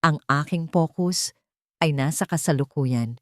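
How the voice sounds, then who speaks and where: A woman with a slightly low voice narrates a scripted drama in the first person. The recording is close and dry, as in an audiobook. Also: measured pace, no room sound.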